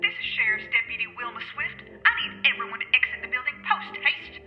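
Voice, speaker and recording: An actress playing a brash, bossy sheriff's deputy barking orders in a comedic audio drama. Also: female voice, fairly high pitch, lively intonation, brisk, dull audio, faint music behind, natural voice. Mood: commanding.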